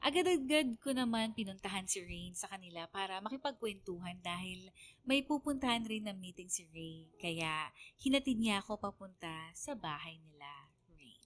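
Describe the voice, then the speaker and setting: A woman's voice: medium pitch, animated, normal speed, natural voice. A woman acting an earnest young woman who confides her love story in scripted radio narration, sincere and confessional. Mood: relieved. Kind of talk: scripted first-person radio letter narration.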